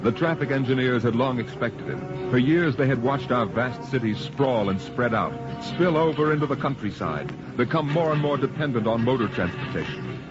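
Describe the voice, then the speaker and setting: Dramatic male radio narrator, loud music behind. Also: mid-range voice, animated, dull audio. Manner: dramatic, measured, portentous. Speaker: announcer and narrator.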